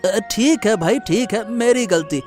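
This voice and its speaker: A man acts out a poor farmer in an animated village tale. He is meek and friendly, with a placating, hesitant delivery that tends to plead. Faint music plays underneath. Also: high voice, measured pace. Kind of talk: animated moral story acting.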